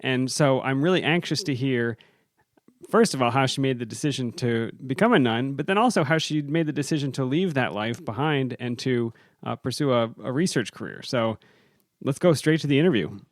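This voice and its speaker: A man co-hosts a podcast, speaking in a measured, earnest way with a few "uh"s as he sets up the guest. His voice is mid-pitched. The sound is close and dry. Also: animated, no room sound. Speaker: podcast co-host. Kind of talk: casual podcast chat.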